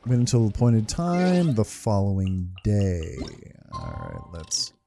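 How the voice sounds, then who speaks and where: Mellow man doing casual game commentary. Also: lowish voice, very animated, slow, creaky, a little hiss or hum. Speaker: gameplay video host.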